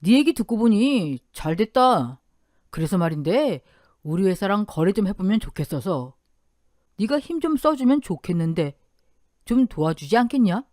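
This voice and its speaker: A woman's voice: medium pitch, very animated, normal speed, dry recording, clean studio sound, lightly acted. A woman voicing a brazen older uncle who begs favors in a pushy, wheedling way. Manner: pushy and wheedling, turns to angry shouting.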